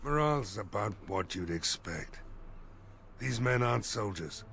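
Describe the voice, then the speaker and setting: An actor playing a weary, worried village mayor in a fantasy game, with game music behind him. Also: male voice, on the low side, expressive, measured pace, vocal fry, a bit bright, slight background noise, full character voice. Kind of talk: video game voice acting.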